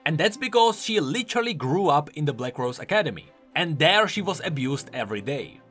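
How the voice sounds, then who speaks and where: Man, game lore host, very lively intonation, slightly high voice, emphatic informal explaining, music underneath. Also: brisk. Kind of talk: explanatory video commentary.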